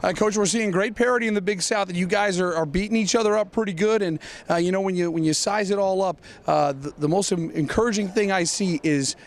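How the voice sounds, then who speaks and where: A man's voice: high voice, fairly quick. A man hosting a sports show, delivering scripted reads and broadcast chat.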